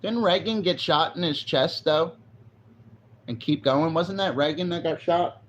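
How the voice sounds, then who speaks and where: A man's voice: on the high side, unhurried, lo-fi sound. A forty-year-old man livestreaming, chatting casually and rambling, swearing now and then. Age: 40s. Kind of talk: casual live stream chat. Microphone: phone on a selfie stick.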